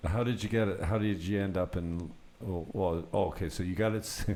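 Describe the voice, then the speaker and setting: Podcast host: man, fairly low voice, casual and blunt, unpolished chat over ambient noise. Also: brisk, a little mellow. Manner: blunt, profane, informal.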